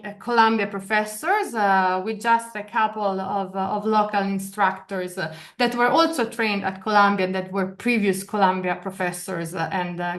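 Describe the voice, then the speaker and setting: A woman's voice: medium pitch, a bit bright. A woman in her thirties with an Italian accent, presenting a study program over a video call, with many hesitations and restarts. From Italy. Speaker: program director. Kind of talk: online information session presentation. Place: office in Venice, video call.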